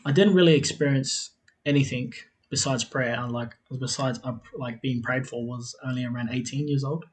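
A man's voice: mid-range voice, normal speed. A man in his twenties with an Australian accent, telling his life story as a podcast guest in a loose, rambling way, with lots of fillers and frequent laughs. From South Australia. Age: 20s. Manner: rambling, repeats himself, many "like" fillers, laughs often. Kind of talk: casual interview storytelling.

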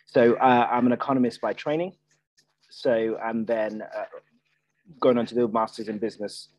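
A man's voice: medium pitch, fairly even intonation, normal speed, a little mellow, no echo, low-quality recording. A man guest in a casual property podcast interview, talking with frequent fillers and restarts. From Nigeria, Ireland and the UK. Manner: hesitant, many restarts and fillers.

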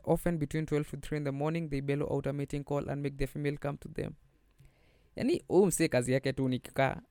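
A man's voice: medium pitch, a little mellow, clean tone, dry recording, clean studio sound. A young Kenyan man hosting a podcast, chatting casually and switching between English and Swahili slang. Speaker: podcast host. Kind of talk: casual solo podcast chat.

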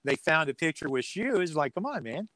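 A man's voice: on the high side, big pitch swings, normal speed, fairly bright tone, no echo. A man speaking as a sports announcer being interviewed, chatting casually in a folksy, joking way with dry humour. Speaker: radio sports play-by-play announcer as interview guest.

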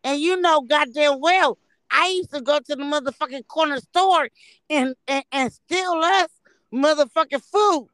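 A woman's voice: high-pitched, unhurried, no room sound, low-quality recording. A woman in her late thirties from the Bay Area, telling blunt, profane stories in a casual podcast chat. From San Francisco Bay Area, California. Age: 30s. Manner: loud, blunt, profane storytelling. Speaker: podcast guest.

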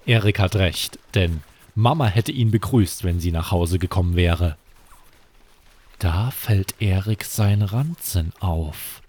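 A man hosting and narrating a scripted children's bedtime story, measured and suspenseful, with deliberate pauses. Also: low voice, a little mellow, slightly rough. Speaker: podcast host and storyteller.

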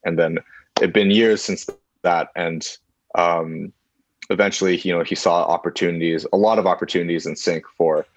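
Guy with a really low voice, grew up in china, laid back and rambly, dry slightly dull audio. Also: moderate pace, no room sound. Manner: relaxed, rambling with many fillers. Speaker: guest musician. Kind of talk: casual conversation.

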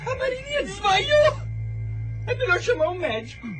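A woman playing a frantic, shrill companion raising the alarm in a radio soap opera. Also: sing-song, normal speed, fairly bright tone, exaggerated voice. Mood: panicked. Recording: music behind the voice.

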